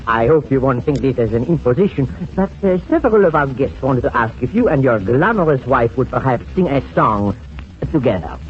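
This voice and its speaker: An actor playing a fawning, apologetic head waiter in a 1940s radio comedy, effusive and insistent. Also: male voice, medium pitch, animated, a little fast, faint music behind, natural voice. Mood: flattering. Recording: muffled old radio recording with faint noise.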